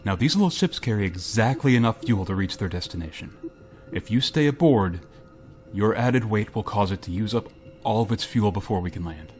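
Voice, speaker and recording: A man playing a grave, reluctant spaceship pilot in a radio drama, speaking in a solemn, halting way. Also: fairly low pitch, expressive, a little mellow, faint music behind, natural voice. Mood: grave, official. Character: grave, reluctant male spaceship pilot bearing terrible news. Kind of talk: radio drama acting with narration.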